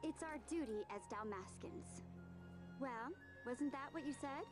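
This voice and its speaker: High youthful voice, girl, earnest, scolding, caring, game cutscene over music. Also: measured pace. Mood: teasing, chiding. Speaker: video game character.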